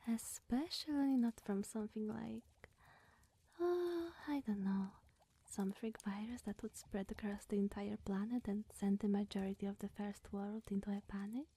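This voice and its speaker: A woman playing a lonely, awkward immortal witch in an ASMR roleplay, speaking in a breathy, whispery, hesitant and coy way. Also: medium pitch, fairly even intonation, moderate pace, dark tone. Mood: lonely, hopeful.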